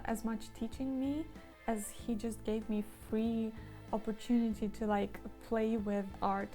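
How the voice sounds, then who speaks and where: A woman from Moldova reflects on her painting in a thoughtful, introspective tone. Her voice is a touch high and mellow rather than bright. Faint music plays behind her. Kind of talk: interview monologue.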